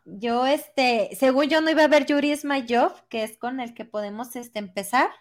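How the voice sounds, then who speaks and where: Casting: woman with a somewhat high voice and a southern Mexican Spanish accent, laid-back podcast co-host who often restarts her phrases. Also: moderate pace. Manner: relaxed, hesitant, restarts phrases. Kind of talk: casual chat.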